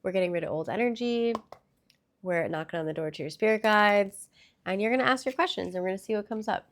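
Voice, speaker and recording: A woman's voice: medium pitch, fast, noisy background. A young woman, a bit congested from a cold, giving a chatty, upbeat tarot reading to her viewers. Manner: chatty, upbeat, with fillers and little laughs. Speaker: tarot reader. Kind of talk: casual tarot reading to camera.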